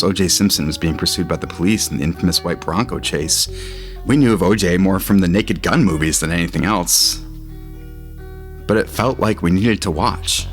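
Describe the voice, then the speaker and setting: Deep voiced guy reading literary audio fiction, guitar playing under him. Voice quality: low-pitched. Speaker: narrator. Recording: music under the voice. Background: guitar music.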